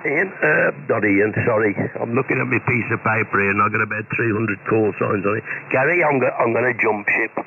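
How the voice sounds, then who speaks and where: Creaky British man on crackly shortwave radio. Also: fairly low pitch, fairly quick, hardly any pauses. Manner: chatty, anecdotal, colloquial. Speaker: amateur radio operator. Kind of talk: casual rambling radio chat. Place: two-way radio link heard on receiver. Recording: noisy narrowband shortwave ham radio.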